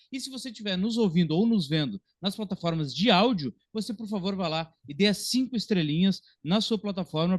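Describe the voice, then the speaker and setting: A man's voice: sits high, no room sound, clean studio sound. A Brazilian man in his thirties hosting a podcast, talking about film in an informal, talkative, conversational way. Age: 30s. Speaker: podcast host.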